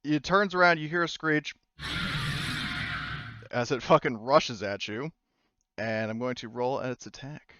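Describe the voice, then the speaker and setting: Guy game mastering a tabletop rpg, chatty and informal, clean smooth voice, bit lo-fi. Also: mid-range voice, a little slow, pauses often, bright, forceful tone, no echo. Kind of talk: casual narration of a tabletop game.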